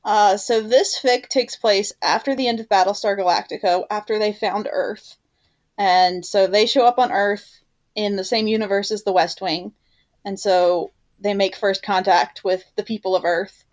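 Woman cohosting a podcast, average pitch, just chatting casually. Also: steady tone, moderate pace. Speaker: podcast co-host.

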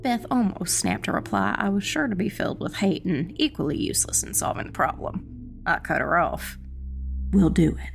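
A woman reading a dramatic first-person dark fantasy narration as an audiobook narrator. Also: mid-range voice, sing-song, fairly quick, gravelly. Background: faint music.

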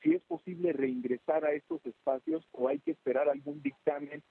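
A man's voice: on the high side, wildly swooping pitch, moderate pace, vocal fry, slightly rough, dry recording. A man with a Mexican accent giving a live, unscripted field news report from a city street over a phone link. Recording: tinny, band-limited phone link.

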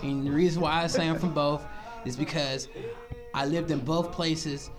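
Polite young guest with a slightly higher voice. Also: male voice, fairly even intonation, music in the background. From Texas and Mississippi, US. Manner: polite and measured, wry understatement. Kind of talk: casual chat.

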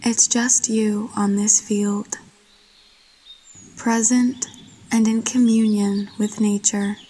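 A woman narrating a guided meditation in a soft, breathy voice, with a soothing, gentle and unhurried manner. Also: medium pitch, level tone, slight background noise. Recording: long washy reverb.

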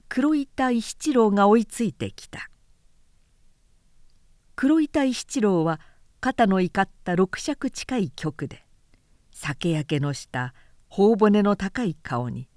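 A woman narrates a literary tale at an unhurried pace. Her intonation moves widely and expressively, in a measured storytelling style. The recording is dry and close. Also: mid-range voice, big pitch swings, darkish tone, dry recording.

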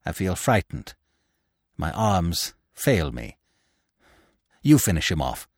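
A man's voice: mid-range voice, very animated, normal speed, dry recording, studio quality. A man voicing a furious, vengeful widow in a storybook, melodramatic and taunting, with a cruel and fearful edge. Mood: frightened, faltering.

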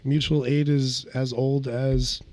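A man's voice: medium pitch, little pitch movement, takes it slowly, a little mellow, no room sound. A man co-hosting a podcast, earnest and hedging with ums and likes, who is losing his voice. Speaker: podcast co-host. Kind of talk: casual podcast chat.

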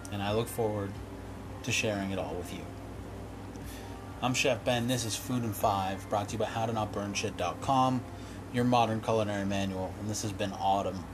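Hoarse, low-voiced male podcast chef. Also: normal speed, noisy background. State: hoarse from illness. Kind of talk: reading a written essay, then casual sign-off.

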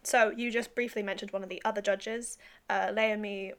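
A young British woman chatting casually with a co-host, thoughtful, and pausing as she searches for words. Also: medium pitch, fairly even intonation, a bit bright. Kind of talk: casual chat.

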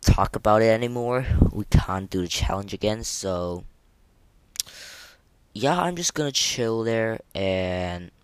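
A man hosting a casual solo gaming podcast, speaking in a halting way and often stumbling and repeating words. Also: fairly low pitch, animated, clear, resonant tone, no room sound. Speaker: podcast host.

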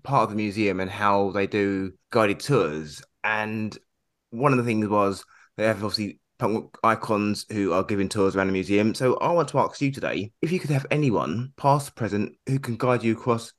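A man's voice: on the low side, moderate pace. A man hosting a podcast, introducing the show and chatting in an upbeat, casual way. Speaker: podcast host.

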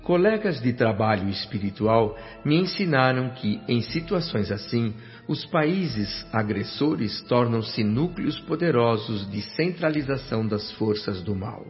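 A man's voice: medium pitch, lively intonation, unhurried, few pauses, close to an everyday voice. An actor playing a solemn male narrator in a radio drama, grave and formal. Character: solemn, inquisitive male narrator, a newcomer spirit. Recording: muffled radio play with background music.

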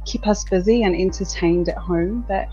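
A woman's voice: on the low side, normal speed, mellow, highs cut off, faint music behind. A woman in her thirties from New Zealand, a business owner talking candidly as a podcast guest, chatty with frequent laughs and repeated words. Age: 30s. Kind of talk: casual interview chat.

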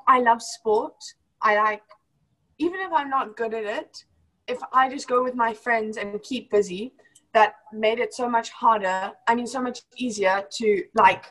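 A woman's voice: a little high, a bit bright, poor audio. A young woman with a mixed British and South African accent, talking casually over a video call and laughing often. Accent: mix of British and South African. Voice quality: giggly, laughs often, many fillers. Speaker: former homeschool student.

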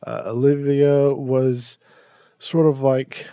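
A man's voice: medium pitch, flat delivery, slow pace, dark tone, smooth, no echo. A man hosting a casual horror movie review podcast, sounding a little nasal and stuffed up from allergies, chatty and rambling with restarts. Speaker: podcast host.

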